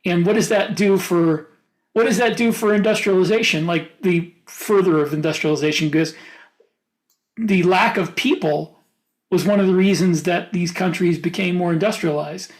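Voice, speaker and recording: A man's voice: high-pitched, moderate pace. An American man co-hosting a podcast, talking casually and giving opinionated, rambling explanations. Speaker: podcast co-host. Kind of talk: casual panel discussion.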